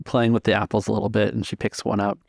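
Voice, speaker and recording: A man narrating a tabletop game as game master, descriptive and measured, pausing mid-phrase. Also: lowish voice, flat delivery, vocal fry, no room sound, clean studio sound. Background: music.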